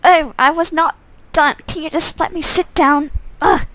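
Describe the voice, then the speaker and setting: Female game streamer narrating aloud, higher register, crisp forward tone, expressive, slightly stumbly. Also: unhurried. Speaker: streamer reading a visual novel aloud. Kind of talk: live read-aloud narration with asides.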